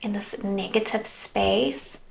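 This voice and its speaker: A woman giving a tarot reading, musing softly as she goes and sometimes slipping into a whisper, her voice worn as though she is losing it. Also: medium pitch, gravelly, slight background noise. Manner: slow, soft, musing, drifts into whispers. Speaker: tarot reader.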